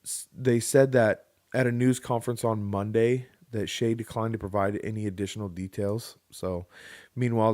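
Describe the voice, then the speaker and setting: A man's voice: on the low side, mellow, no echo, clean studio sound. A man co-hosting a casual podcast, reading a news article aloud with joking, sarcastic asides. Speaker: podcast co-host.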